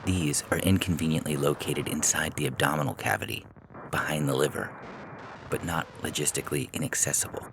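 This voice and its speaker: A man reading a scripted short story as narrator, in a measured, deliberate way. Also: rumbling low, expressive, vocal fry, noisy background. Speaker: narrator and podcast host.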